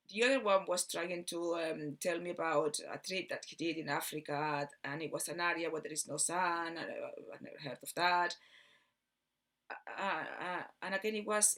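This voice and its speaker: A woman from Barcelona with a Spanish accent tells a rambling personal story in a halting way, repeating words and trailing off. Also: sits low, a bit bright. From Barcelona, Spain. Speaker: video host telling personal story.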